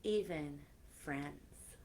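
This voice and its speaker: A woman's voice: sits low, very slow, a little mellow. A woman hosting a children's library story time, reading picture books aloud expressively with chatty asides, on a noisy live-stream recording.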